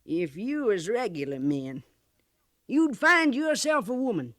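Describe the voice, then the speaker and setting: A woman's voice: fairly high pitch, sing-song, a little slow, fairly bright tone, dry recording, clean studio sound. An actress playing a crusty, shrewd old American frontier woman with a folksy rustic drawl in a 1950s radio western. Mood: wistful, gruff. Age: older. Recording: vintage 1950s radio recording.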